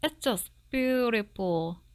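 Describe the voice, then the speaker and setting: A woman's voice: a little high, expressive, slow pace, darkish tone, no room sound, voice pushed up. A woman voicing a sly, cheerful male fox who gushes and exclaims as he schemes in a storybook. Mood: delighted. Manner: exclaiming, gushing.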